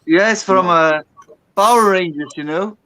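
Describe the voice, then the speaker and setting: A man's voice: high-pitched, unhurried, bright, forceful tone, poor audio. A man in a casual beer video call, searching for words in English as he shows off his beers.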